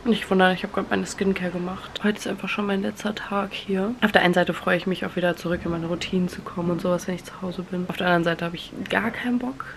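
A woman's voice: on the low side, fairly even intonation, fast, creaky, few pauses. A young woman in her twenties talking casually to a handheld camera at home, a bit congested from a cold, upbeat and chatty. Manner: chatty, upbeat, mixes in English words. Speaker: vlogger. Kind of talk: casual vlog chat to camera. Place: small room at home. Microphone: handheld compact camera at arm's length.

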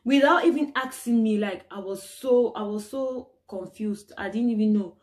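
A young woman from Cameroon telling her own stories to the camera, animated and exclaiming, acting out the people she met. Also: fairly high pitch, moderate pace. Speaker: vlogger. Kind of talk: casual personal storytelling to camera.

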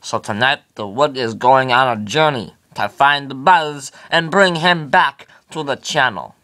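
A man's voice: medium pitch, measured pace, bright, forceful tone. A man voicing a boastful male toy cowboy who calls himself the Wood and speaks of himself in the third person. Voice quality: cocky, swaggering, talks about himself in third person. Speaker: channel host. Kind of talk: toy comedy skit.

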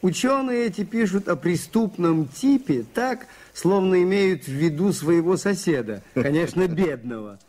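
An actor playing a shrewd, calm, gently ironic priest detective in a radio play, with understated delivery. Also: male voice, sits high, a little slow, hardly any pauses. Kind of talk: 1980s radio play acting.

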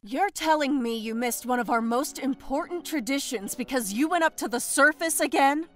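A woman's voice: high voice, moderate pace, natural voice. A woman playing a stern mermaid queen and mother in an audio drama, commanding and reproachful. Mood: angry scolding.

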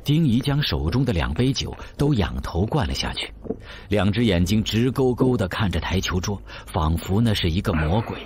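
A man delivers scripted, dramatic story narration. His voice is very low and moves expressively in pitch. There is faint noise in the background. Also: very animated, moderate pace, darkish tone.

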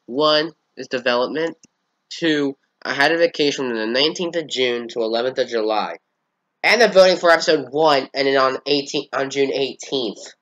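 A man's voice: medium pitch, pushed and bright, dull audio. A fourteen-year-old boy, congested, giving a rambling, hesitant channel update with casual swearing. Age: teens. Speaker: channel owner.

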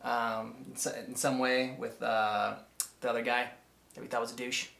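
A man in his thirties chatting casually as a reaction host, with a halting, enthusiastic delivery. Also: medium pitch, little pitch movement, creaky, fairly bright tone. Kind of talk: casual commentary chat. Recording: roomy indoor echo.

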